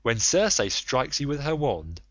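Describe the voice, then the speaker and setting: A man reads as a youthful god in disguise from an ancient epic, assured and knowing as he instructs. The pitch is middling and the recording very dry, a touch dull. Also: big pitch swings, unhurried, no echo. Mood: assured warning. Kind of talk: audiobook character reading.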